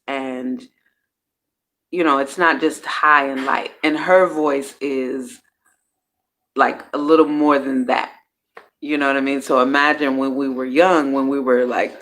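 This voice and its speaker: A woman hosting her own personal growth series, talking casually and candidly. She uses slang, swears freely, and often trails off and restarts her sentences. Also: low voice. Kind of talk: casual reflective monologue.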